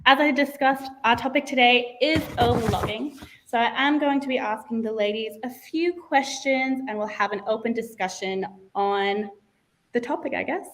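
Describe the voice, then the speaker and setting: A young woman hosts a live online event over a video call. Her voice sits a little high, and her delivery is upbeat and formal, like a practiced presenter. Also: normal speed, a little hiss or hum. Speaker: host. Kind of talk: scripted livestream hosting.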